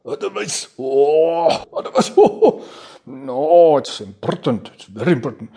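A man playing an over-excited preacher in a comic radio play, effusive and repetitive, swinging between solemn and giddy. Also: on the high side, measured pace, gravelly, husky, exaggerated voice. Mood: solemn, fervent. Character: over-excited preacher gushing about inner beauty and joy. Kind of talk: parody sermon in a comic short radio play.

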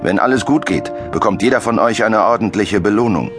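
A man's voice: lowish voice, brisk, music in the background, voice pushed up, natural voice. A man voicing a soldier captain who barks orders. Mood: reassuring. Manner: barking orders.

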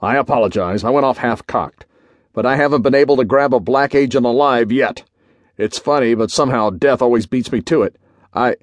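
A man voicing a gruff, short-tempered general and intelligence chief who barks clipped orders in a pulp adventure story. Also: fairly quick, dry recording, lightly acted. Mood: gruff, musing. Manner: barking, booming, clipped orders.

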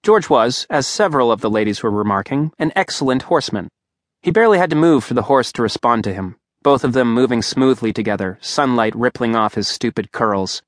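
A man's voice: medium pitch, animated, a little fast, dry recording. A man reading an audiobook aloud in a dry, wry storytelling manner at a studio microphone. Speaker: audiobook narrator. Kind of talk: scripted narration.

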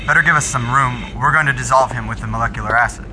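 A man's voice: medium pitch, quick pace, music in the background, natural voice, low-quality recording. A man playing an obliging, matter-of-fact clean-up worker in a science fiction radio drama. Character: obliging, plain-spoken male futuristic clean-up worker.

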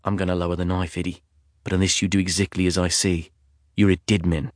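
A towering, cold-eyed male intruder , as a man puts him on, speaks in a husky British accent, low and clipped. Every word lands as a threat in a dry, close sound. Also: flat delivery, quick pace, no room sound, lightly acted. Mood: menacing. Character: huge menacing male intruder with a knife.

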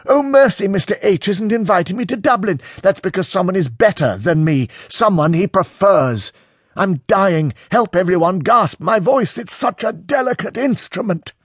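Guy doing a high strained bitter man, hysterical n sarcastic, storybook drama, swoops around, very dry sound. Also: big pitch swings, a little slow, breathy edge, no echo, full character voice. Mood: bitter mockery. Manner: hysterical, cutting, mock-dramatic. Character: bitter, hurt, quarrelsome man, sarcastic and theatrical. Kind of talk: audiobook character acting.

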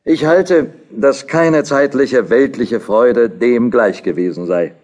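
A devout young scholar in a historical radio play. His mid-pitched voice is husky, and he declaims with earnest, searching conviction. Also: takes it slowly, a little mellow. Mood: solemn, fond. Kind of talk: historical audio drama acting.

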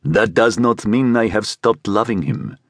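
A man voicing a strong older man who speaks deliberately and persuasively, with a guttural Egyptian accent, in a narrated story. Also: lowish voice, vocal fry, no echo, studio quality. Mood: cool, cryptic. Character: Nahor, strong, commanding older man with magnetic menace.

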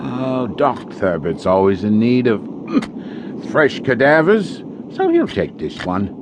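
A sly, slow-talking old-time gravedigger. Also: male voice, medium pitch, wildly swooping pitch, background noise. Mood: sly, scheming. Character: sly, greedy older male gravedigger, falsely pious. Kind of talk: audio horror drama acting.

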